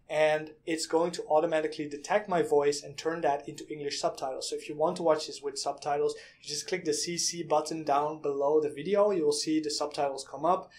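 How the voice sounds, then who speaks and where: Man walking through software. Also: fairly high pitch. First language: Dutch. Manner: explanatory, step-by-step, with frequent "uh" and "um". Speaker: product reviewer. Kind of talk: screen-share software walkthrough. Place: small indoor room.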